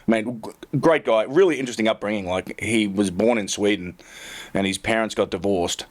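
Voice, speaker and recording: A man's voice: on the low side, brisk. A man who works as a tour caddy, telling rambling, self-deprecating stories in a casual podcast chat. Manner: rambling, self-deprecating, laughs through anecdotes.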